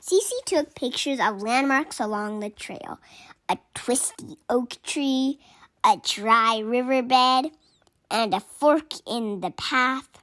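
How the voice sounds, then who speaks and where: A woman reading a children's picture book aloud in an expressive way, acting out the dialogue. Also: a little high, slow pace. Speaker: storybook reader for children.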